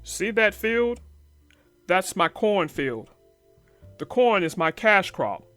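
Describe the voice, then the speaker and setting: A woman voicing a gruff, practical older male bear farmer in a children's storybook, talking in a slow, deliberate way. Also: mid-range voice, sing-song, fairly bright tone, dry recording, faint music behind, pitched below the performer's own voice. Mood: firm, instructive.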